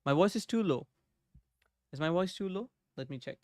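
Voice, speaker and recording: A man's voice: high-pitched, expressive, moderate pace, a little mellow, no echo, studio quality. A young man streaming live from his home desk, thinking aloud as he codes and greeting viewers in the chat. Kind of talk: casual live coding chat. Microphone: desk microphone.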